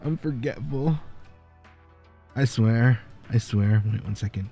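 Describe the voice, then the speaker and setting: A man's voice: medium pitch, slow, dark tone, slightly rough. A man streaming live, chatting casually and thanking viewers by name, laughing often. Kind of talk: casual livestream chat. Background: faint background music.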